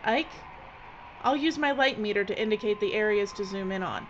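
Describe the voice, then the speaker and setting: A woman acting a brisk, sardonic, no-nonsense film director in an audio drama. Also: medium pitch, close to an everyday voice. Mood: brisk, bossy. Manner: bossy, wry. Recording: dull, slightly noisy recording.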